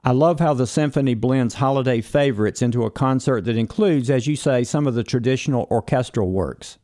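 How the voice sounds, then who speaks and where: A man's voice: medium pitch, a little fast, dark tone. Man hosting a radio interview. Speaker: morning show host. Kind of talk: broadcast interview.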